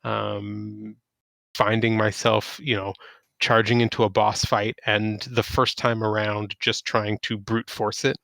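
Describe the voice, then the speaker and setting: Man, fairly low voice, podcast host, casual gaming talk, halting conversational delivery, dry close sound. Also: little pitch movement, creaky, a bit bright, no room sound.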